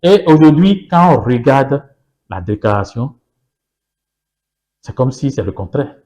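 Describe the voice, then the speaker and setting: A man whose first language is Yoruba speaks as an insistent political guest on a televised interview. He hammers his points with repeated phrases and pointed rhetorical questions, in a voice of ordinary male pitch. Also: sing-song, a little slow, a little mellow.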